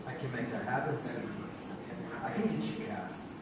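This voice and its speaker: A man's voice: medium pitch, moderate pace, lightly acted. Echoey, cocky young 1920s sweet-talker played by a man. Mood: boastful. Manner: slangy, wheedling sweet talk. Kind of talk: stage radio-play acting. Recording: distant, echoey theatre, loud audience noise.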